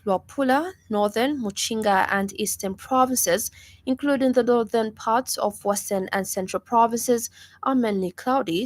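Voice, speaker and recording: Woman, Zambian accent, radio newsreader, scripted delivery, mid-range pitch, studio. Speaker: radio news presenter. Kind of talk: scripted news and weather reading.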